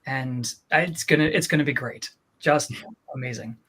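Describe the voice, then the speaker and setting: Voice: mid-range voice, moderate pace, fairly bright tone, slightly rough. Enthusiastic speaker promoting a project. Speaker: audiobook production team member. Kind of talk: informal promotional talk.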